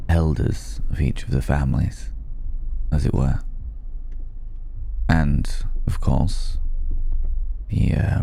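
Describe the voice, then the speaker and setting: A man playing a suave, worldly old vampire in an intimate ASMR roleplay, speaking with refined, wry hesitation and a gravelly voice. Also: exaggerated voice. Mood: wry, relieved. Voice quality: gravelly, dark low voice. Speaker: voice actor in audio roleplay.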